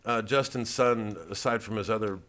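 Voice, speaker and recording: A man's voice: fairly low pitch, fairly even intonation, normal speed, fairly bright tone. Sardonic man rambling through satire. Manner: sardonic, profane, digressive. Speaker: satirical comedian. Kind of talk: rambling, improvised satirical monologue.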